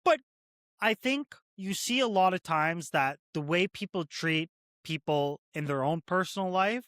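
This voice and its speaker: A man in his thirties from Canada talks through his work as a corrections officer in a loose, casual way, circling back with "like" and "right?". His voice sits a little high for a man. The recording is dry and close, with no room sound. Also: animated, measured pace, fairly bright tone, no room sound. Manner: rambling, many "like" and "right?" fillers. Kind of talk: casual interview conversation.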